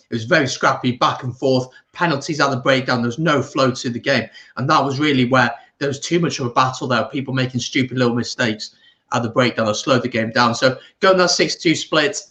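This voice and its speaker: A man speaking as a guest pundit, giving measured, conversational sports opinions with the odd tag question. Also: medium pitch, a bit bright. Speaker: guest pundit on a rugby watch-along. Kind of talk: casual sports analysis.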